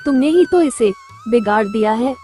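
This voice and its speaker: Woman acting a harsh, nagging stepmother, high voice. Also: expressive, moderate pace, close to an everyday voice. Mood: scolding. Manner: sharp, nagging, threatening. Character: harsh, scolding village stepmother. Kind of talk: Hindi moral-story cartoon voice acting. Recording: music under the voice.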